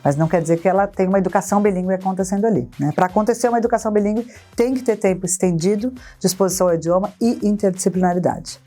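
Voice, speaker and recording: A woman specialist, explaining in a measured way in a podcast panel discussion. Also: medium pitch, dark tone, faint music behind. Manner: measured, explanatory, often tags "né?". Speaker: bilingual education specialist.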